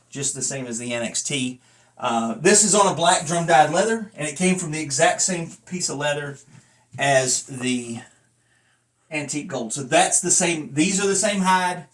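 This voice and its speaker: A man's voice: on the high side, measured pace. A man chatting casually in a rambling hobby review, with a folksy, self-deprecating and casually profane manner, recorded on a phone away from his mouth. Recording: noisy phone recording, distant mic.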